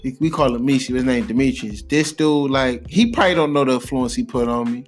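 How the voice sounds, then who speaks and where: A man's voice: medium pitch, fairly quick, soft background music. A young American man in casual, slangy, profane podcast storytelling, repeating himself for emphasis. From Cleveland, United States. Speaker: podcast co-host.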